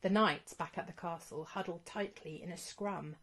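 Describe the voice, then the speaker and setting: A woman reading a rhyming children's storybook as scripted narration, in an expressive storytelling manner. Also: lowish voice, moderate pace.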